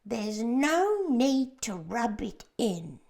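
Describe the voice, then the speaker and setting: A woman voicing a gloomy, complaining blind male earthworm who draws out his words slowly and sounds doomed. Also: a little high, sing-song, vocal fry, darkish tone, pitched above the performer's own voice, heavily acted. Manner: slow, drawn-out and doom-laden.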